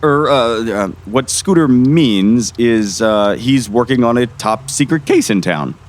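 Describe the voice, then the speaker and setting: A man's voice: medium pitch, animated, measured pace, close to an everyday voice. A man playing a weary small-town sheriff in an audio drama, folksy, patronizing and dismissive. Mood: evasive. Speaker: voice actor.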